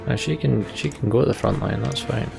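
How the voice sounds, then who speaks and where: A man's voice: mid-range voice, a little fast, creaky. A man hosting a let's play, reading game text aloud and commenting casually on his play. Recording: game music behind the voice. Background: game music.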